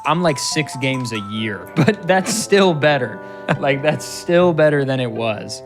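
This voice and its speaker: A man with a middling voice talks games in a relaxed, easygoing way and calmly walks through setup steps. Game music plays clearly behind his voice. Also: mid-range voice, a little slow. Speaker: speedrunner guiding a challenge. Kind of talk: casual gaming chat.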